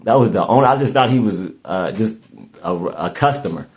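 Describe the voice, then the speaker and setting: A man's voice: on the low side, lively intonation, brisk. A man, hosting a podcast and telling a story in casual chat, rambling and restarting his words often. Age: 30s. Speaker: podcast host.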